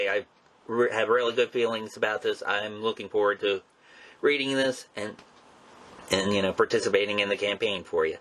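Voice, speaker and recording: A man hosting a show in a casual, informal way, with a halting, rambling delivery. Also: mid-range voice, bright, forceful tone. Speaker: show host and interviewer. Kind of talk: casual hosting and commentary on comics history.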